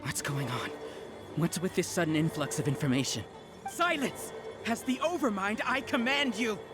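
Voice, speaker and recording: Man playing a high, imperious villain overlord. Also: moderate pace, natural voice. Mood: alarmed, commanding. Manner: imperious, grandiose, dramatic. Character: haughty male villain, self-styled Overmind of a hive mind. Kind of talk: anime video game voice acting. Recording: loud game music underneath.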